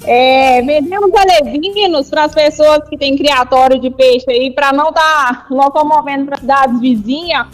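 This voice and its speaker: A woman's voice: high-pitched, unhurried, hardly any pauses, soft background music. A woman from Goiás with a Goiás accent, a store representative talking in a casual rural way as a radio guest. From Goiás, Brazil. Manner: rural colloquial speech.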